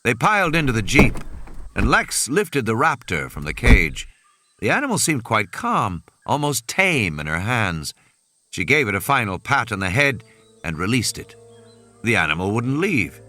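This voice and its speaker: A man's voice: mid-range voice, very animated, normal speed, bright, forceful tone. A man giving scripted narration of an adventure audiobook. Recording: sound effects and vehicle ambience behind. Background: vehicle and ambient sound effects.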